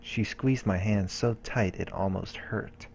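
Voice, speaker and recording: A man's voice: fairly low pitch, unhurried, vocal fry, a little mellow, slight background noise. A man hosting a let's play, reading a visual novel's narration aloud like a storyteller. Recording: music under the voice. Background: game background music.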